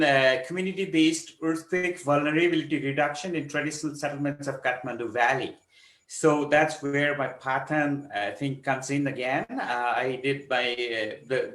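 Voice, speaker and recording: A man's voice: mid-range voice, a bit bright. Roomy-sounding man presenting. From Nepal. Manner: measured, explanatory, pauses often to find words. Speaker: conference presenter, architecture researcher. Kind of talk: academic conference presentation. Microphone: video call.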